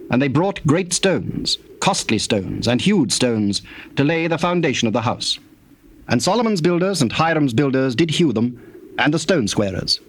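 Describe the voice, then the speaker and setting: A man's voice: a little high, a little hiss or hum. Formal male scripture narrator. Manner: formal, measured.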